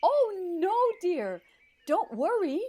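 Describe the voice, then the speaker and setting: A fairy godmother of the audio-drama kind , played by a woman, sweetly teasing and meddlesome. Her voice is extremely high and drawn out at a very slow, leisurely pace, with sing-song swoops. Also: very animated, dark tone, exaggerated voice. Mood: teasing, breezy. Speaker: voice actor.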